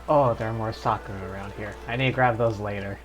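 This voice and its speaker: A man streaming a game live, commenting in a laid-back, wry way. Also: fairly low pitch. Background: game music.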